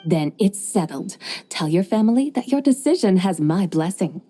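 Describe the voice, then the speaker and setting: A woman voicing a composed, gently teasing young woman with a secret in a game cutscene. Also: mid-range voice, lively intonation, normal speed, close to an everyday voice. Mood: serene. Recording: soft game music underneath.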